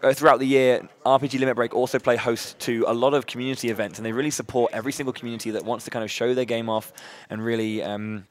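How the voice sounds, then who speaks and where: Male host, mid-range pitch, loud and chatty, upbeat live-event patter. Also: fairly even intonation, fast talker, hardly any pauses. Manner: upbeat, chatty, many fillers and restarts. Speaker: charity marathon host.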